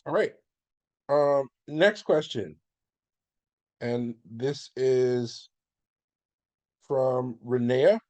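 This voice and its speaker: A man moderates an online panel and reads each audience question slowly and with care. His voice sounds mellow and warm. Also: mid-range voice. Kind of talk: reading audience questions on a video-call panel. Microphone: video call.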